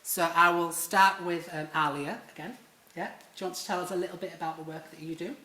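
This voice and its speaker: A man with a Yorkshire accent speaking into a stage mic, his voice bright and forward. The theatre lends the sound a roomy echo with a faint hum of room noise behind. He talks in a chatty, self-deprecating way, filling gaps with ums. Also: on the high side, steady tone, brisk. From Leeds, England. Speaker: panel moderator. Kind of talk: live panel introductions. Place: theatre stage before an audience. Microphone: stage microphone.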